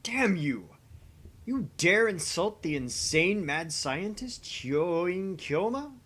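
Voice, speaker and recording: A man's voice: high-pitched, big pitch swings, slow, fairly bright tone, voice pushed up, heavily acted. A man voicing a self-styled mad scientist, a grandiose young man making pompous, theatrical declarations in a visual novel game. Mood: pompous, indignant.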